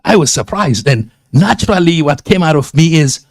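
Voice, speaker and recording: A man with an Ethiopian accent giving an earnest, emphatic public speech at a civic meeting, halting often between phrases. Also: fairly high pitch, expressive, no room sound, studio quality. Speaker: community member seconding a nomination.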